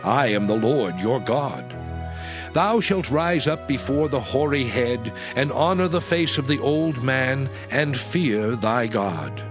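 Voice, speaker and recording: A man's voice: mid-range voice, expressive. Man acting a solemn divine lawgiver over music. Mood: solemn, commanding. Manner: solemn, measured, emphatic declamation. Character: God as solemn, commanding male lawgiver. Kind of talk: dramatized scripture reading in archaic English. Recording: music under the voice.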